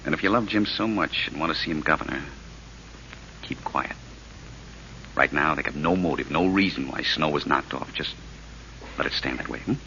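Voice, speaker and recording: An actor playing a tough, guarded gangster prisoner in an old radio drama, with clipped slangy talk. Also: male voice, very deep voice, normal speed, pauses often, bright, forceful tone, heavily acted. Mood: low, protective. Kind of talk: 1940s radio drama acting. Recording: dull, hissy old radio recording.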